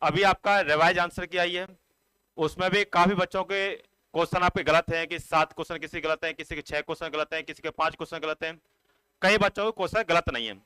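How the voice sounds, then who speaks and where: A man's voice: fairly high pitch, steady tone, fairly quick, pushed and bright, clear, resonant tone, dry recording, low-quality recording. A man giving an informal, explanatory exam update as a coaching teacher, addressing students directly in a repetitive, instructive way.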